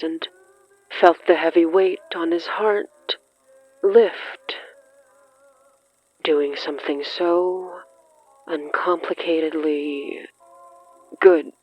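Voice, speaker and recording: A narrator reading dramatic horror fiction in a measured, halting way with weighty pauses. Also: mid-range voice, muffled recording. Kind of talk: slow, dramatic scripted narration.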